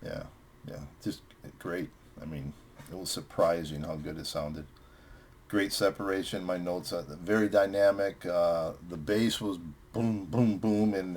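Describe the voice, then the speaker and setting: A man's voice: low voice, slight background noise. A man hosting a music vlog, chatting casually as he reviews records with friends.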